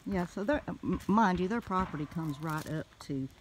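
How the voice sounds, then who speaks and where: A woman with a Southern US accent telling a story casually in a folksy, animated way, recorded on a handheld phone outdoors. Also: on the low side, moderate pace, slight background noise. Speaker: homestead vlogger. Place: outdoors in a wooded rural yard.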